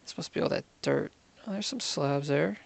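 A man's voice: a little high, moderate pace, gravelly, husky. A man giving casual gameplay commentary, muttering to himself and counting under his breath. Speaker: gaming video creator.

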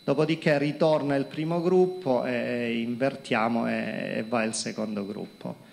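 Middle-aged man from Calabria, speaks at a lectern with a handheld mic, gravelly, stop-start with lots of fillers. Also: mid-range voice, steady tone, a little mellow. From Calabria, Italy. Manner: halting, many fillers and repeated words. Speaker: company executive presenting to students. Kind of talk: public talk at a lectern. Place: conference hall with projector screen. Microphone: handheld microphone.

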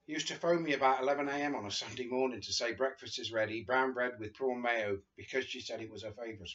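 Older guy reading a book out loud on his sofa, webcam sound a bit dull, chatty and chuckly. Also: mid-range voice. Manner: chatty, chuckling asides between read passages. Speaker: reader of a friend's memoir. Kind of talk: casual book reading to camera. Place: living room sofa, indoors.

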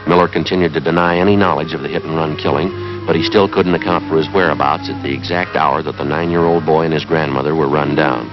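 American police sergeant, extremely low voice, terse, deadpan, methodical, 1950s TV drama, muffled old soundtrack. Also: male voice, fast talker, music in the background. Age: 30s. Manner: clipped, matter-of-fact, deadpan. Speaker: lead actor in a police drama. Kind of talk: 1950s TV police drama acting and scripted narration.

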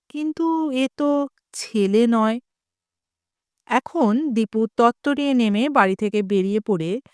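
Bengali lady reading a story, slow and smooth, dry close mic. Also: on the high side, dry recording. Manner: slow, clear, expressive reading. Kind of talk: scripted story narration.

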